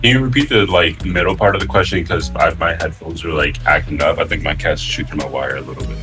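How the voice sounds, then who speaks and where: A man's voice: low-pitched, quick pace, sharp tone, soft background music. A man on a podcast panel, talking in a casual conversational way. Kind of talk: casual chat.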